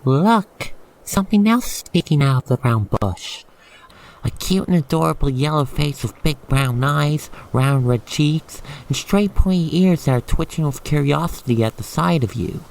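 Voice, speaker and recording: A man's voice: a little high, animated, unhurried, gravelly, darkish tone, rough recording. A man narrating an ASMR roleplay, telling the story theatrically and speaking directly to the listener.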